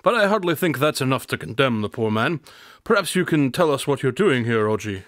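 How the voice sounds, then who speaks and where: Gentleman sleuth played by a man: medium pitch, dry sound, cool logic, flippant and wordy, audio drama. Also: sing-song, brisk, no echo. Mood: coolly menacing. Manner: wry, unruffled, wordy and formal. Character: coolly logical, flippant, aloof male gentleman detective.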